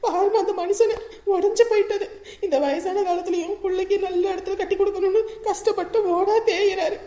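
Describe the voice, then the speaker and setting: A very high, quick-talking village mother played by a woman, bitter and full of grievance. She laments and scolds in family-drama style, recorded in an echoey room. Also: fairly even intonation, warm tone. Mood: wounded lamenting. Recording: roomy, echoing voice recording.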